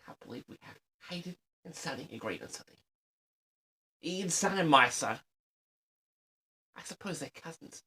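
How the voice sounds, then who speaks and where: A man's voice: fairly high pitch, expressive, normal speed, pauses often, pushed and bright, slightly rough, exaggerated voice. A man playing a pompous, easily affronted eccentric who records a comic, theatrical audio diary for his audience. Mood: grumbling, aggrieved. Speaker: audio log host. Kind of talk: comic rambling monologue to an audience.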